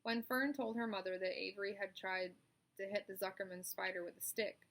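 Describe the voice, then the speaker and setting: A woman's voice: mid-range voice, low-quality recording. A woman narrating a children's story in a read-aloud style. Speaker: storybook reader.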